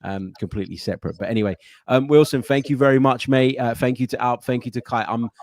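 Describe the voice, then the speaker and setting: A man hosting a football call-in podcast, brisk and chatty. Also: mid-range voice, darkish tone, dry recording. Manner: brisk, chatty, calls people mate. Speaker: podcast host taking callers. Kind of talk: casual call-in football chat.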